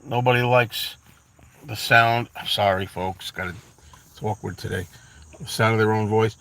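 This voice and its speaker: A man's voice: fairly low pitch, fairly even intonation, moderate pace, a bit bright. A middle-aged man with a Brooklyn accent, chatting casually from his car and answering viewers in a rambling, self-deprecating way. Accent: New York, Brooklyn. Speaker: live stream host. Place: parked car.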